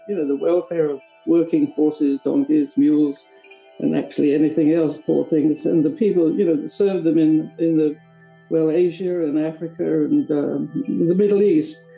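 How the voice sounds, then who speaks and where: An older woman with an English accent, a charity board member answering interview questions in a halting, rambling way. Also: lowish voice, dark tone, rough recording. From England. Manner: halting, rambling with many restarts.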